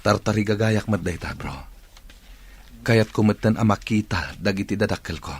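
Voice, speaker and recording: A man's voice: on the low side, normal speed, husky. A man with an Ilocano accent playing an earnest, devoted young suitor and dutiful son in a Filipino radio drama. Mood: resolute.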